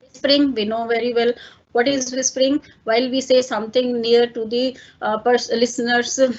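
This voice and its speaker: A woman's voice: fairly high pitch, flat delivery, normal speed, highs cut off. A woman with an Indian accent, a native Hindi speaker, giving a lecture and explaining step by step with many fillers and "fine" check-ins. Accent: Indian English. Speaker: teacher. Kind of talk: online lecture.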